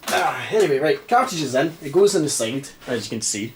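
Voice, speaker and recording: A man's voice: on the high side, animated, a little fast, a little hiss or hum. A Scottish man with a stuffy, congested voice, chatting casually and mocking himself while testing an old console in a dim living room. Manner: chatty, self-mocking, rambling. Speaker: retro game vlogger. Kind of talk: casual chat.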